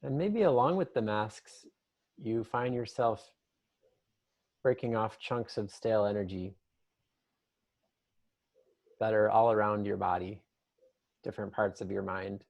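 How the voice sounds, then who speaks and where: Low-voiced man guiding a meditation. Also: gravelly, lo-fi sound. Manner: gentle, unhurried, meandering imagery with long pauses. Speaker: meditation guide. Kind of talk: guided meditation, improvised and conversational.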